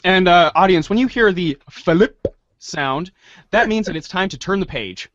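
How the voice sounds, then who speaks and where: Animated high-voiced male host. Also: unhurried, no echo, muffled recording. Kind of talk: casual show hosting.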